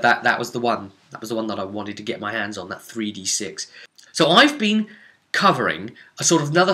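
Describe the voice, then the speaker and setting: Bright-voiced enthusiastic man, casual vlogger. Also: mid-range voice. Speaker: game master presenting a vidcast. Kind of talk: casual recap vlog of a tabletop roleplay campaign.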